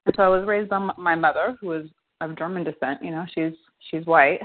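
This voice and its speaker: A low-pitched woman in her fifties answers interview questions in a thoughtful, halting way, circling back and restarting her sentences. Her voice comes through a thin, tinny phone line. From United States. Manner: thoughtful, many restarts and fillers, soft laughs. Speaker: guest, winery co-founder. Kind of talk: casual interview conversation.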